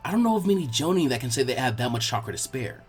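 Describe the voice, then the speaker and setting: A man's voice: mid-range voice, very animated, fast, soft background music, voice pushed down, close to an everyday voice. A man voicing an earnest, bookish young girl tutor who explains things kindly and teasingly in an audiobook-style story. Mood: explaining.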